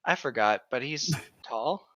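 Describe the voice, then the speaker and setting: A young man banters casually in a bright, forceful voice of middling pitch. The recording is a little dull and lo-fi. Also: lively intonation, a little slow. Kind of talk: casual joking chat.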